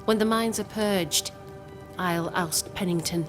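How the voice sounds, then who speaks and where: A composed woman with a low voice played by an actress in a video game drama. She speaks formally and deliberately, a resolute plotter weighing her words, with prominent music underneath. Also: close to an everyday voice. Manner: formal, measured, deliberate phrasing. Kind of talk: video game cutscene acting.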